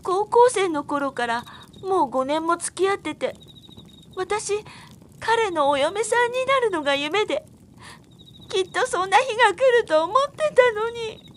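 Woman playing a very high, girlish, earnest young woman. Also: animated, measured pace, a little hiss or hum. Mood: sad, wistful. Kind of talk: audio drama acting.

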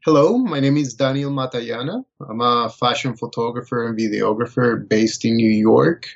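A man from Colombia, speaking as a guest and telling his story in a relaxed, thoughtful way. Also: medium pitch, normal speed, gravelly, lo-fi sound. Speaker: guest photographer. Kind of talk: casual interview answers.

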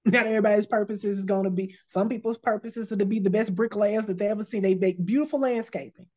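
A woman's voice: mid-range voice, fairly even intonation, quick pace, darkish tone. A woman in her fifties, a life coach, talking earnestly and encouragingly, often repeating phrases for emphasis. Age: 50s. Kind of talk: casual podcast chat.

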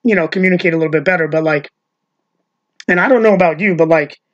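A woman's voice: on the low side, fast. A woman hosting a casual podcast chat with her sister, rambling and peppering her talk with "like" and swearing. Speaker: podcast host. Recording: dull lo-fi audio.

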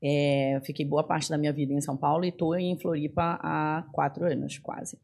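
A woman's voice: deep voice, level tone, normal speed, vocal fry, darkish tone, breathy edge. A woman in her thirties from northern Brazil, a podcast guest chatting animatedly and telling her story with frequent fillers. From Belém, northern Brazil. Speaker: guest, tech executive. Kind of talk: casual podcast conversation.

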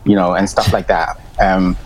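A man's voice: low voice, level tone, moderate pace, background noise. A man on a discussion panel giving his reactions, hesitant, with restarts, laughing easily.

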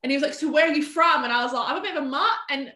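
Young woman guest, twenties, bright voice, rambling casual talker, roomy echo on the track. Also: high-pitched, very fast. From half American, half English. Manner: chatty, rambling, heavy use of "like". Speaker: guest photographer. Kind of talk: casual podcast chat. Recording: echoey room.

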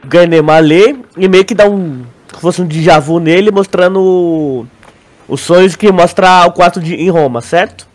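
Man, high voice, Brazilian accent, casual podcast co-host, halting explanations, repeated words, frequent check-in questions. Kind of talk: casual podcast discussion, theorizing.

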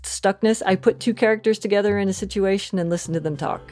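A woman's voice: mid-range voice, fast talker, music in the background. A woman chatting casually and emphatically as an interview guest about her creative work. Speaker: interview guest, audio fiction writer and producer.

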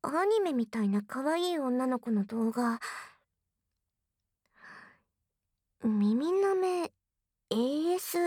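High-pitched bashful tsundere girlfriend. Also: lively intonation, takes it slowly, a little mellow, no room sound, studio quality, exaggerated voice. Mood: casual, curious. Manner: flustered, stammering, breathy asides dropping into whispers. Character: tsundere young girlfriend, bashful, jealous, teasingly bold. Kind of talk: Japanese ASMR situation voice drama, intimate. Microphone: close binaural microphone, dry studio.

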